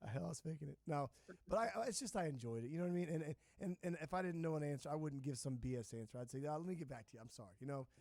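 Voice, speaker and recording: A man hosts an informal chat show with a slightly high voice and a dry, close sound. He talks casually and often chimes in while the guest speaks. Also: racing, darkish tone, dry recording. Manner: informal, interjects frequently. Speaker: show host, interviewer.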